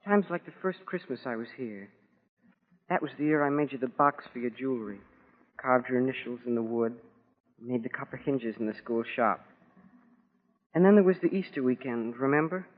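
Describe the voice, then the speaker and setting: A man's voice: medium pitch, normal speed, pauses often, long reverb tail, lightly acted. Earnest schoolboy played by a man, tinny radio. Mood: wistful, tender. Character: earnest, wistful schoolboy writing to his mother. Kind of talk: 1940s radio dramatic monologue. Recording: phone-like, tinny 1940s radio recording.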